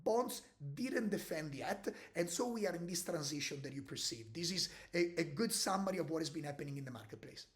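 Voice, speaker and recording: A man's voice: fairly high pitch, lively intonation, brisk, hardly any pauses. A man co-hosting a podcast, giving casual commentary on financial markets and explaining in a conversational way. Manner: conversational, explains with rhetorical tags. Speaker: podcast co-host.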